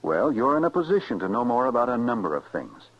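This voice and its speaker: A man with an American accent and a husky voice reading a scripted public service announcement as an old-time radio announcer. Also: medium pitch, big pitch swings, fast. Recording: muffled old radio recording.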